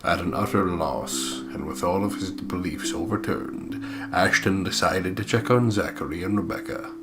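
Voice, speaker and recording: A man with a low voice co-hosts a horror game playthrough. He reads story narration aloud and chats in a joking, sweary way. Guitar music plays clearly underneath. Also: moderate pace. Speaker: let's play co-host and narrator. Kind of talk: casual banter and read-aloud visual novel narration.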